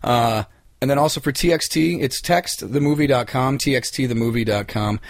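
A man's voice: mid-range voice, normal speed, dry recording, clean studio sound. An American man in his thirties chatting casually as an interview guest, blunt and informal, with some swearing. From United States. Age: 30s. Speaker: interview guest, independent filmmaker. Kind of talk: casual chat.

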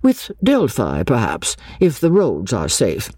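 Woman reading as a gentle, mellow English gentleman. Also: very deep voice, huge pitch swings, slightly rough, no room sound, exaggerated voice. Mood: mildly surprised. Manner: gentle, formal, measured. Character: courteous, measured, sympathetic English gentleman. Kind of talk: audiobook character dialogue.

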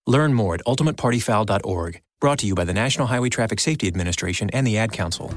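A man's voice: lowish voice, expressive, very fast. A man reading a public service announcement as a voice-over.

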